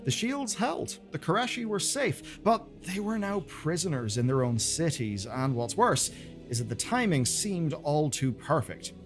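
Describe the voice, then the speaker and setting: A man presenting game lore as dramatic scripted narration, with pointed pauses and colloquial asides. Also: a little high, very animated, faint music behind.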